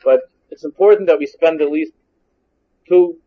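A Hungarian-born man teaches Torah, reading a Hebrew line and then translating it bit by bit. His voice sits high, and he keeps repeating and restarting phrases. The recording is muffled and lo-fi. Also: fairly even intonation, moderate pace. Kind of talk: text-based Torah lesson. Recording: dull lo-fi recording.

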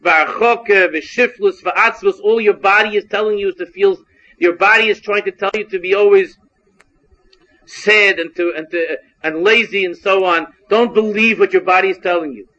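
A Hungarian-born man teaches Torah, reading a Hebrew line and then translating it bit by bit. His voice sits high, and he keeps repeating and restarting phrases. The recording is muffled and lo-fi. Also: moderate pace, bright, forceful tone. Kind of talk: text-based Torah lesson. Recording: dull lo-fi recording.

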